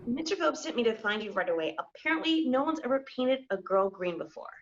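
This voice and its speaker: A woman's voice: on the high side, big pitch swings, fast. A woman playing a precocious, eager young production assistant in a screenplay read. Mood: urgent. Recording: dull lo-fi online call audio.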